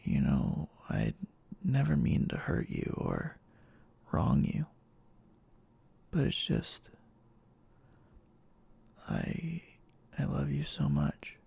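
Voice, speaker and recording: A man playing a clingy, obsessive, lovestruck boyfriend in an intimate ASMR roleplay, speaking in a halting, tender way with a breathy voice that often drops near a whisper. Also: medium pitch, wildly swooping pitch, mellow, full character voice. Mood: anxious, apologetic, pleading. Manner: halting, pleading, tender endearments.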